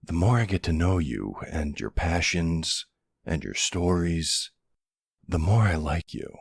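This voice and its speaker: Man acting a deep, gravelly, smitten mafia boss. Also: dry recording, clean studio sound, full character voice. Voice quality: low, gravelly, hushed. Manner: tender, hesitant, unhurried, earnest. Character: soft-spoken, courteous, smitten male mafia boss. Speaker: audio roleplay voice actor. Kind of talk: intimate ASMR boyfriend roleplay.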